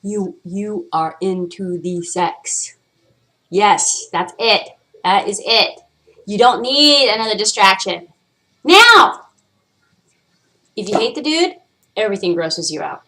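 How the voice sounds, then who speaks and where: A woman's voice: mid-range voice, lively intonation, slow, long pauses, bright, forceful tone. A woman hosting her own vlog, chatting casually and candidly, rambling with frequent swearing and playfully trying out different voices. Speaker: vlog host. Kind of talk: casual chat to camera.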